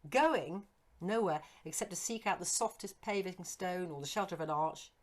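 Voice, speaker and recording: A woman reading a storybook and voicing a proud, despairing young Victorian gentleman with a curt, well-bred manner. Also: lowish voice, normal speed, lightly acted. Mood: bitter. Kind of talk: storybook character acting.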